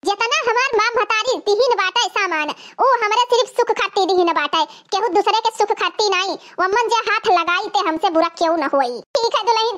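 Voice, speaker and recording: A performer plays a cartoon village woman with an Awadhi accent. The voice is extremely high, childlike and sharply bright. She scolds and pleads in a melodramatic family-comedy manner. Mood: pleading. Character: squabbling women of a village family, shrill and dramatic.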